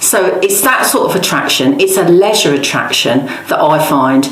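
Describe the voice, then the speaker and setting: Low-voiced, emphatic middle-aged woman, roomy sound. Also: animated, moderate pace. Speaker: local campaigner and interviewee. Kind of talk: interview answers, conversational.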